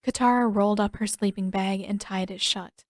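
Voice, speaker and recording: A woman's voice: medium pitch, fairly even intonation, normal speed, no room sound, studio quality. A woman reading scripted fiction as an audiobook narrator.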